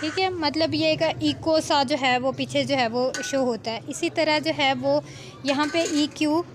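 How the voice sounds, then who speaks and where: A woman giving an informal, step-by-step software tutorial as an editing tutor, often adding small check-in tags. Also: sits high, unhurried.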